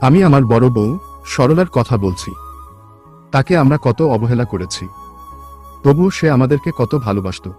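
A man's voice: medium pitch, expressive, moderate pace, long pauses, darkish tone, faint music behind, lightly acted. A man voicing a hapless young village husband in a cartoon, speaking in an earnest, plaintive way. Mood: regretful, complaining. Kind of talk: cartoon voice acting.

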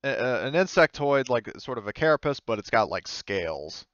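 A man's voice: medium pitch, very animated, moderate pace, a bit bright, clear, resonant tone, no room sound, muffled recording. A man working as game master, narrating and explaining in a hesitant, rambling way during a tabletop roleplaying game.

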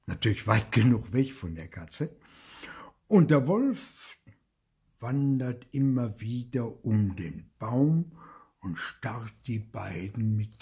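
Old guy reading a kids story, slow, husky, lots of up and down, in his study by a webcam. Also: medium pitch. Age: older. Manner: expressive, slow, whispers suspense. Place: indoor study with bookshelves.

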